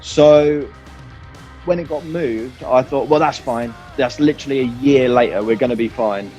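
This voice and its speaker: A man's voice: medium pitch, normal speed, dark tone, dull audio, soft background music. A man chatting informally and candidly in an interview as a band musician, in a rambling way. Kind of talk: casual interview chat.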